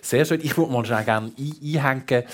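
A man's voice: medium pitch, moderate pace. A man with a Swiss German accent hosts a conversational interview, feeling his way through his questions. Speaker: radio talk show host.

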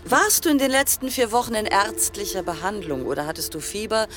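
An actress playing a brisk, sinister female yacht captain in a radio play, clipped and smoothly menacing. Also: medium pitch, expressive, over music, natural voice. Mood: brisk, probing.

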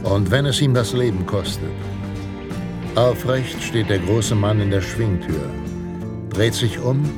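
An actor playing a solemn male narrator of a Western tale, telling it in a measured, dramatic radio-play style. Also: lowish voice, natural voice. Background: guitar music.

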